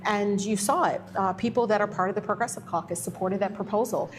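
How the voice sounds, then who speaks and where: A woman's voice: medium pitch, brisk, a little hiss or hum, rough recording. A woman legislator in an impassioned political discussion, often restarting her sentences. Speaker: state legislator guest.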